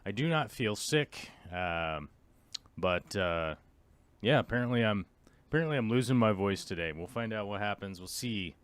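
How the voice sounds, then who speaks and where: A man hosting a live stream from his home office, hoarse and losing his voice, chatting casually and chuckling often as he rambles. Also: lowish voice, lively intonation, moderate pace, no room sound.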